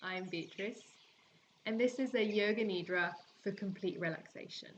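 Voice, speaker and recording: A woman teaching yoga nidra, guiding a relaxation meditation in a gentle, soothing, unhurried way with long pauses. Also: mid-range voice, lo-fi sound, slight background noise. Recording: birdsong and forest sounds behind voice. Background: calming forest nature sounds with birds.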